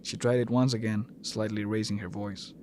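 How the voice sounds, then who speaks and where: Man, narrator, fairly deep, scripted storytelling, slight noise behind the voice. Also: level tone, normal speed, warm tone.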